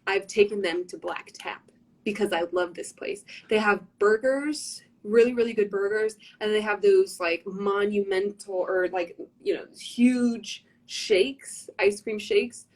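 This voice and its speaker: A woman's voice: medium pitch, measured pace, a little mellow. A young woman hosting a casual fan livestream on a phone or webcam, bubbly and gushing, with lots of "like"s.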